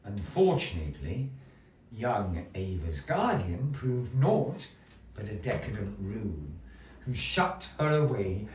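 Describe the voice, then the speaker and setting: A man tells a fairy-tale story for a puppet show in a slow, unhurried way, letting his voice swoop up and down to carry the drama. His voice sits a little low. It was recorded from across an echoey gallery room, with a faint hiss of noise behind it. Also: distant mic. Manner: slow, theatrical storyteller with lively rises and falls. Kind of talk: storytelling narration to a live audience. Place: gallery space.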